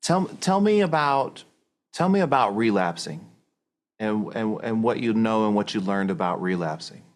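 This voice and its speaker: A man's voice: on the low side, animated, moderate pace. Encouraging male podcast host. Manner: enthusiastic, encouraging, repeats words when starting. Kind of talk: interview conversation.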